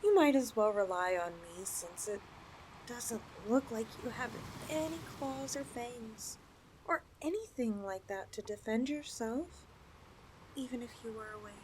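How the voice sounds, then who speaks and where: A woman's voice: a little high, a little slow, noisy background, natural voice. A woman playing a possessive, teasing snake girl in an ASMR audio roleplay, with a coy, cooing delivery. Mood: sweet, teasing. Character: possessive, teasing young yandere lamia girl, half snake.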